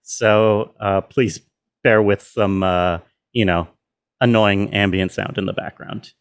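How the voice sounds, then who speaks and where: Slow-talking man with a low voice. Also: fairly even intonation, smooth. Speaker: sound designer of an audio drama. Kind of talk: casual behind-the-scenes walkthrough. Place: indoor room with air conditioner running. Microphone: self-recording.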